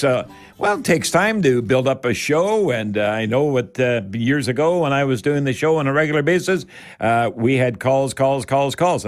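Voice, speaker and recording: An older Canadian man hosting a radio show on home improvement. He talks in a folksy, unscripted way, wandering a little and repeating words to drive a point home. His voice sits at an ordinary male pitch. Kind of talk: folksy live radio talk with product tips.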